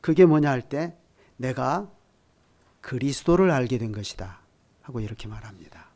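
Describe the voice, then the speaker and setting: Measured older male pastor preaching. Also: medium pitch, animated, a little mellow. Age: 60s. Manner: measured preaching that swells to emphatic peaks; speaks directly to the congregation with light chuckles. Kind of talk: sermon at a midweek prayer service.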